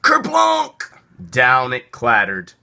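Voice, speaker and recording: A man reading a children's story aloud in a theatrical, deliberate narrating style. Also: medium pitch, huge pitch swings, gravelly, sharp tone, clear, resonant tone. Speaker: storybook reader.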